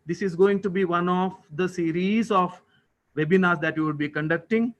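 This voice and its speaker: A man with an Indian accent giving a formal, prepared thank-you speech as a college official, with deliberate, ceremonious and courteous phrasing. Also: high voice, fairly even intonation.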